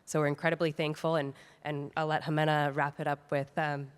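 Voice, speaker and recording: Clear-voiced woman presenting in an echoing hall. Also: deep voice, flat delivery, brisk. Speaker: presenter of a coastal art project. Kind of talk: conference presentation. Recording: reverberant hall.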